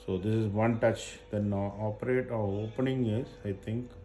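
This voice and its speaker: A man doing a casual, unscripted product unboxing, haltingly thinking aloud as he goes. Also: lowish voice, steady tone, warm tone, faint music behind. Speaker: unboxing presenter. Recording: noisy recording with crinkling packaging. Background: handling and crinkling of packaging.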